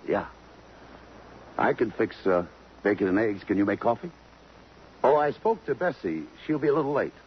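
A man's voice: fairly low pitch, expressive, moderate pace, long pauses, close to an everyday voice. A man with an American accent playing a tough, blunt police lieutenant in a 1950s radio drama, questioning in a clipped, hard-boiled way. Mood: casual, dry. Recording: dull, noisy old radio recording.

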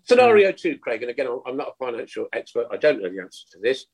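A man's voice: medium pitch, very animated, fast talker, husky. A man in his sixties on a video call, chatting casually about football, teasing the others and asking rhetorical questions. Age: 60s. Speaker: podcast panelist. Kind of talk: casual football fan chat.

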